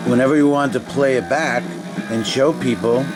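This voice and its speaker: A man's voice: mid-range voice, moderate pace, music in the background, rough recording. A man casually demonstrating old equipment, thinking aloud in a tentative, unscripted way as he goes. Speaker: demonstrator of vintage video equipment.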